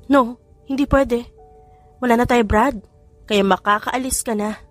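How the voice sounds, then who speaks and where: A woman's voice: on the high side, steady tone, measured pace, dry recording, natural voice. An emphatic young Filipina nurse and mother, acted by a female narrator, loving yet firm. It is romance audiobook dialogue set over music. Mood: annoyed, firm. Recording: music bed behind voice.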